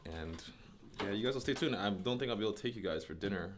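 A chatty man in his thirties films himself on a handheld camera during a team road trip, tossing out quick updates to his viewers. He laughs easily and keeps the tone loose and casual. The camera mic picks up plenty of noise around him. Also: fairly low pitch, expressive. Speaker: basketball player vlogging a team road trip. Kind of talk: casual travel vlog. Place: moving between cars, a gas station and a hotel. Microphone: handheld camera at arm's length.

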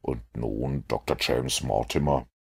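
A man voicing a cool, shrewd gentleman detective in an audiobook, with a measured, dry, authoritative manner. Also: a bass voice, steady tone, creaky, husky, pitched below the performer's own voice, exaggerated voice. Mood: cool, courteous.

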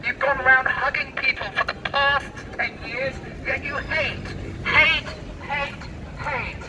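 A man's voice: very high voice, fairly even intonation, a little slow, a loud crowd. A man debating on the street through a megaphone in a declamatory, teasing way, repeating words for emphasis. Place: outdoors on a busy city street at night. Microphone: far camera. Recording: distant, noisy city street recording.

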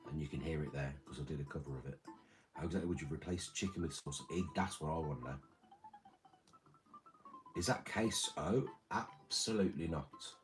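Very deep man on stream. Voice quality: very deep, slightly damaged from singing. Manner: rambling, crude, jokey. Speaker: livestream host. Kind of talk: casual chat reading live chat. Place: small room with red curtain and red light.

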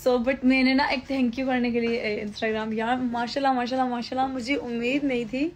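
A woman's voice: a little high, level tone, brisk, a little hiss or hum. A young woman talking to her phone camera in a casual vlog, chatty and laughing often as she thanks her followers. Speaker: influencer vlogger. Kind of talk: casual selfie vlog chat. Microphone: phone held at arm's length.

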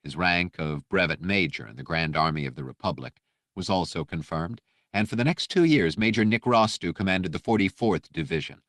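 A man reading a scripted audiobook story with measured, precise, dramatic narration. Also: deep voice, animated, a bit bright, no room sound, clean studio sound.

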